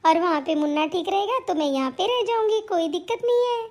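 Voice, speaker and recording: A woman acts out an elderly mother-in-law from a family cartoon. The voice is extremely high, almost childlike. She is plaintive and motherly, a long-suffering soul who dotes on her family. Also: brisk. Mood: affectionate. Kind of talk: melodramatic family cartoon dialogue.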